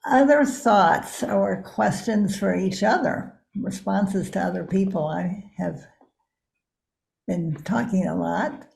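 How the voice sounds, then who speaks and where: Woman as informal service host; medium pitch with a gravelly creak. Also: takes it slowly, a little mellow. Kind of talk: informal hosting of an online service.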